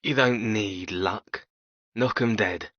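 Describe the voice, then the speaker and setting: A woman voicing a doting, proud middle-aged father in an audiobook, affectionate and gently persuasive in manner. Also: very deep voice, takes it slowly, dry recording, muffled recording, voice pushed down, heavily acted. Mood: proud, encouraging. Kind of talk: audiobook character dialogue.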